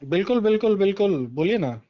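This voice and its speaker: High-pitched man on a dull phone call. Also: big pitch swings, fairly quick. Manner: emphatic, repeating words of agreement. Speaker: political party member and former election candidate, interviewee. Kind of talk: phone interview, political commentary. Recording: dull, band-limited phone call recording.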